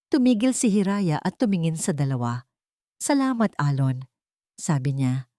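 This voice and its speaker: A woman reading a scripted dramatic story as narrator, with measured, deliberate phrasing and pauses for effect. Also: on the low side, darkish tone, no echo, clean studio sound.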